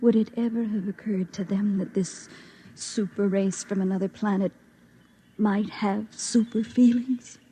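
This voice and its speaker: A woman's voice: medium pitch, fairly even intonation, a little slow, warm tone, slight background noise, close to an everyday voice. An actress playing a lonely, bitter, secretive young woman in a 1950s radio drama, with a world-weary, intense delivery. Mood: scornful, anguished.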